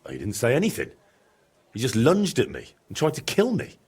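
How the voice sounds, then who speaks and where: An actor playing an earnest young medieval adventurer in a video game, direct and questioning, with a slightly husky voice. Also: male voice, medium pitch, huge pitch swings, normal speed, a little mellow, full character voice. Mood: recounting, uneasy. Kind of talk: video game dramatic dialogue.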